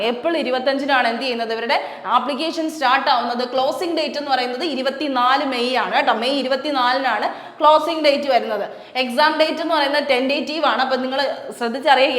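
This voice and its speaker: Malayali woman, coaching tutor, rapid chatty delivery that repeats points, echoey roomy sound. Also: high voice, fairly bright tone. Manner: chatty, repeats key facts, asks rhetorical questions. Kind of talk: explaining a job notification.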